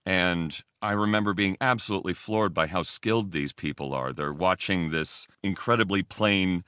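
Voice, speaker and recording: Male podcast guest, low-pitched voice, very dry sound, casual and thoughtful with halting phrasing. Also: fairly bright tone, clear, resonant tone, no room sound. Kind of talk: casual discussion on a fan podcast.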